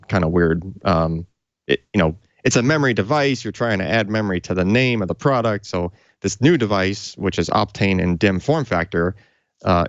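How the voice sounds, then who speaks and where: A man's voice: deep voice, no echo, lo-fi sound. A man casually explaining technical hardware as a tech show co-host, in an informal, conversational way.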